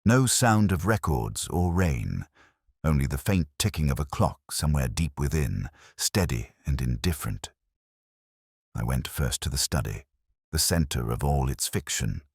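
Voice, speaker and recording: A man playing a melancholy, reflective police inspector who narrates a case in a measured, literary way, as in a scripted audio drama. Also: rumbling low, sing-song, slightly rough, no echo, studio quality, exaggerated voice. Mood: somber, reflective.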